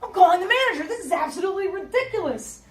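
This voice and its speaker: A woman's voice: very high voice, expressive, quick pace, recorded from a distance. A woman acting as a frazzled, short-tempered single mother, talking bluntly and sarcastically with plenty of swearing. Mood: furious. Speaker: single mother waiting in a store line. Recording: echoey room, voice off-mic.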